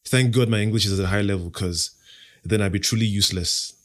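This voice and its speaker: A young Nigerian man, Igbo his mother tongue, talks with a low-pitched voice and a cocky, playful assurance. He answers quick interview questions as if savoring his own lines. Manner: confident, playful, self-assured. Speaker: podcast host, interview guest.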